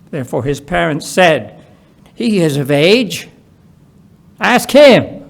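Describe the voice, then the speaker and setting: High-pitched man reading scripture very slowly. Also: a bit bright. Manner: deliberate, emphatic on quoted speech. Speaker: scripture reader at a church. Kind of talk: solemn Bible reading, dramatized dialogue.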